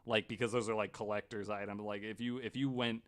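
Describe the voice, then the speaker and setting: A man's voice: lowish voice, level tone, a little fast. A man hosting a podcast in casual, rambling chat, often stammering and repeating words. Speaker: podcast host.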